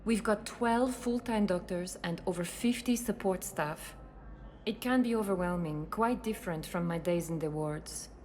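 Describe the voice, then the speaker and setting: A woman's voice: lowish voice, moderate pace, a little mellow, lightly acted. A woman playing a composed, courteous, professional doctor in a video game.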